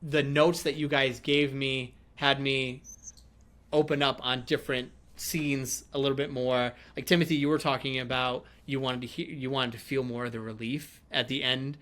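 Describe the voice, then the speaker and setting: A man's voice: mid-range voice, level tone, moderate pace, fairly bright tone. A man hosting a casual podcast chat about writing, speaking in an effusive, informal manner. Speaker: podcast host and writer.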